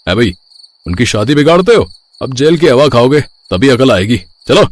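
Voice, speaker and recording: A stern police inspector in a cartoon story, put on by a man. He barks and scolds with his pitch pushed up. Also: sing-song, normal speed. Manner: barking, scolding.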